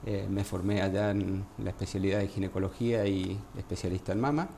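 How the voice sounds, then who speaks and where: A man with an Argentine accent, a gynecologist guest on a radio interview by phone, speaking in a measured way with many fillers. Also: low-pitched, fairly even intonation, creaky, dark tone, a little hiss or hum. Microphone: phone line.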